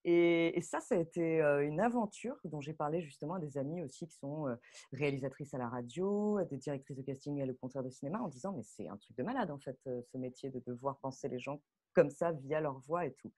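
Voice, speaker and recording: A woman audio fiction director explaining her craft off the cuff in a live online talk, halting and animated as she thinks aloud. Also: low voice. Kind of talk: spontaneous spoken explanation at a live online masterclass. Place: bedroom, on a video call.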